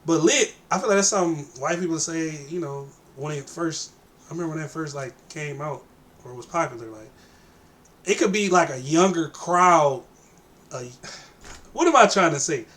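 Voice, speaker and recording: A man with a bright, fairly high voice that moves around a lot. He chats casually as a reaction co-host, feeling his way through each thought. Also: animated, normal speed. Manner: hesitant, thinking aloud. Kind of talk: casual chat.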